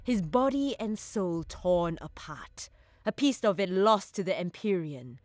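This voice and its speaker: An actress playing a knowing, sinister mystic woman in an audio drama, speaking deliberately with calm, ominous menace. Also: fairly low pitch, dry recording. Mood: ominous revelation. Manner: slow, deliberate, coolly ominous.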